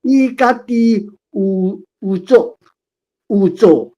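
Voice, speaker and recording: Halting Taiwanese-accented woman, very slow reader. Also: mid-range voice, dark tone. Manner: hesitant, sounding out words piece by piece. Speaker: participant reading a script. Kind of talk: halting read-aloud. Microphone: online call. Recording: dull lo-fi online call audio.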